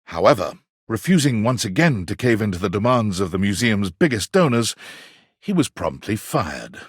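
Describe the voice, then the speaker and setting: An actor playing a florid, pompous male storyteller in a video game, narrating dramatically with arch wit and savored pauses in a husky voice. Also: on the low side, sing-song, no echo, clean studio sound. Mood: wry, arch. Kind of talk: theatrical scripted narration.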